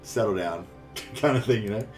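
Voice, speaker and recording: An Australian man chatting casually on a live game stream, rambling and halting, with some swearing. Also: on the low side. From New South Wales, Australia. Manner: rambling, halting, foul-mouthed. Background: faint game music.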